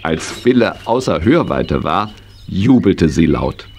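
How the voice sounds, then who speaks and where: A man's voice: low voice, very animated, slow pace, a little mellow, exaggerated voice. A man playing the narrator of a children's audio play, reading a scripted story. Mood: narrating. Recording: noisy vintage audio play recording.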